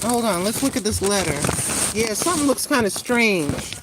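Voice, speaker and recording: A woman in her sixties chatting casually with viewers on a live stream, greeting them by name and talking her thoughts through out loud. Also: fairly high pitch, lively intonation, normal speed, noisy background. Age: 60s. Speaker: vlogger greeting live chat.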